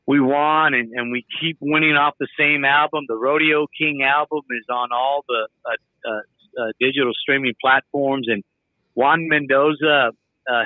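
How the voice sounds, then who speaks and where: Tinny-sounding man telling animated stories. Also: mid-range voice, no echo. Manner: animated, quotes people in his stories. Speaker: guest musician. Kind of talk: casual storytelling.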